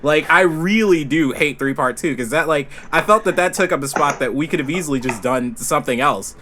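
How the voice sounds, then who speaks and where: A man's voice: on the high side, a little fast, bright, forceful tone. A man in a casual group Q&A, talking in an emphatic, jokey way. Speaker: music group member answering fan questions. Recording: slightly noisy online group chat audio.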